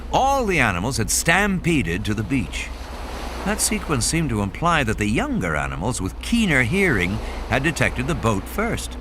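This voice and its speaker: A man narrates a dramatic audiobook with a very animated, wide-ranging pitch. His delivery is measured and literary, set at a medium register. Clear sound-effect ambience runs behind the voice. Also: background noise. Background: music, sound effects and background ambience.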